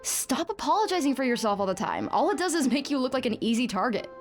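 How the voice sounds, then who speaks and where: A woman's voice: high-pitched, huge pitch swings, quick pace. A woman voicing a lazy, blunt, sardonic lady spirit in a video game, with a grumbling manner. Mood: exasperated. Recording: background music.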